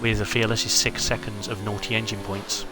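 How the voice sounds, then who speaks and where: Low-voiced wry race commentator. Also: male voice, little pitch movement, fairly quick, noisy background. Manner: chatty, wry, reads chat aloud. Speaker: sim racing commentator.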